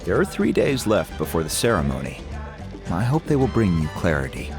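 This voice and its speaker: A solemn, tradition-bound older chief from a fantasy game. His voice is low and measured, with formal, dignified phrasing, over clearly audible music. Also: wildly swooping pitch, full character voice. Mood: grave, courteous. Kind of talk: video game character acting.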